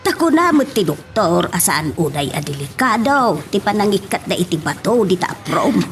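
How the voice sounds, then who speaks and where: A woman's voice: on the low side, very animated, moderate pace, a little hiss or hum. A woman playing a caring, coaxing mother with an emotive manner in an Ilocano audio drama. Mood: fretful, soothing. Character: caring, coaxing mother advising her grown child.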